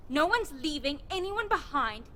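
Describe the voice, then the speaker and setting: A woman's voice: sits high, very animated, moderate pace, pushed and bright, exaggerated voice. A woman playing an upbeat, bossy young survivor who coaxes and takes charge in an audio drama. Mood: exasperated.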